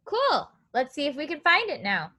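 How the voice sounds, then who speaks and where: A woman's voice: high voice, wildly swooping pitch, normal speed, bright, forceful tone. A woman preschool teacher reading aloud to young children, asking questions and counting in an encouraging, sing-song way with simple phrasing.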